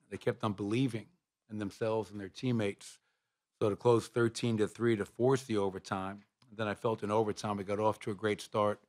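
A middle-aged man with a low voice fields questions from reporters after a game, speaking into a table microphone. His delivery stays flat and level, steady and measured, with plenty of "uh" and "you know" between points. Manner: measured, even answers with frequent fillers. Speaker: basketball head coach. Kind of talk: postgame press conference answers. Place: press room in front of a sponsor backdrop.

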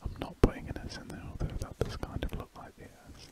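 A British man speaking in a breathy whisper, rambling slowly and musingly in an ASMR style as he draws at a desk. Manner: slow, musing, trailing off. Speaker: ASMR artist. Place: indoors at a drawing desk.

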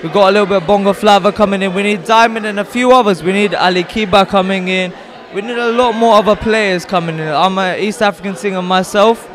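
A man's voice: high-pitched, fairly even intonation, quick pace. A young man from Tanzania, a singer, giving a casual interview into a handheld microphone. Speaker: singer, event guest. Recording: loud event crowd behind.

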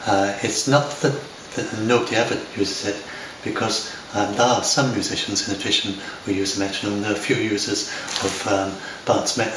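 Older English man, low-ish voice, halting chat to camera, distant, noisy home recording. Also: echoey room. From lives in Scotland. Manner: rambling, hesitant, many fillers and restarts. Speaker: software developer talking to camera. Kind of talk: unscripted talk to camera. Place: home room by large windows. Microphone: far camera.

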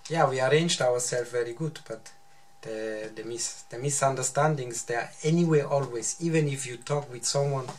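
A man's voice: medium pitch, moderate pace, slight background noise. A man in his thirties whose first language is Swiss German, speaking English in a casual chat and hesitating over his words. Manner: hesitant, searching for words, repeats himself. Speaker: husband guest. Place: small bedroom, indoors.

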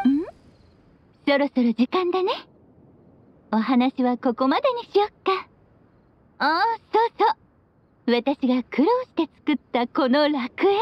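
A woman's voice: high voice, animated, a little slow, long pauses, no room sound, highs cut off. A woman playing a playful, loving, long-lived witch mother, speaking tenderly to her child like a storyteller in an anime game. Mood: playful, breezy. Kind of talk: Japanese anime game voice acting. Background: background music.

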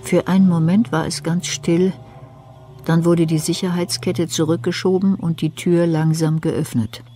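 A woman narrating a dark crime radio play in a composed, scripted style. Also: fairly low pitch, level tone, a little slow, soft background music, natural voice.

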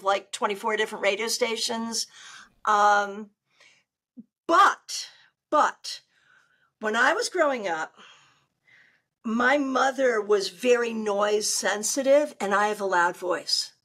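An older woman with a loud, good speaking voice, chatting animatedly as a guest over a video call. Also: fairly high pitch, slow pace. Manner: animated, gestures a lot while talking. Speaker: tarot reader guest. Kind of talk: casual interview chat. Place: home room on a video call.

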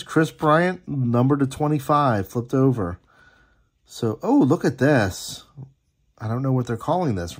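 A man with a gravelly, husky voice giving casual, chatty, appreciative running commentary as he hosts a card-opening video at a table indoors. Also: mid-range voice. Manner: chatty, appreciative, reading names aloud. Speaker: host opening sports card packs.

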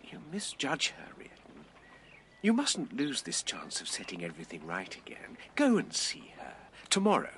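A gentle, earnest literary friend in a period radio drama. He speaks formally and tactfully, with lively, pleading intonation over some noise. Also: medium pitch, normal speed. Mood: urgent pleading.

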